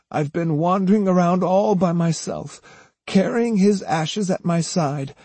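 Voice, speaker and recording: A man voicing a cunning, sneering old Japanese woman who feigns gentle sincerity in an audiobook. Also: high-pitched, breathy edge, no room sound, pitched above the performer's own voice, full character voice. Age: older. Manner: wheedling, earnestly poignant false sweetness. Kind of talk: audiobook character voice.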